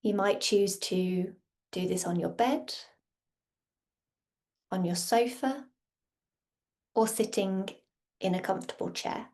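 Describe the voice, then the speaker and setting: A woman leads a guided meditation in a soft, slightly breathy voice of medium pitch. She speaks very slowly, in short soothing phrases. Long, unhurried pauses separate her instructions. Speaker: meditation and yoga teacher.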